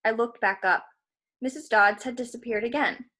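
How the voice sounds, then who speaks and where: A woman's voice: a little high, normal speed, pushed and bright. A woman reading a story aloud expressively, with informal asides to her listeners. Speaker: storybook reader. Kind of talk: read-aloud narration with casual asides to listeners.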